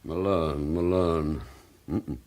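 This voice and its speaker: An actor playing a determined, dryly courteous British police detective in a radio drama, husky and clipped. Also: male voice, a bass voice, steady tone, takes it slowly, a little mellow. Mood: musing.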